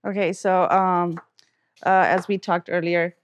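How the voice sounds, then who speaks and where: A woman's voice: lowish voice, flat delivery, measured pace. Woman from Pakistan, middle-aged, chatty, storytelling, casual interview guest. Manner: chatty, warm storytelling with quoted asides. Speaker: guest, dentist. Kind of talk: casual interview while cooking.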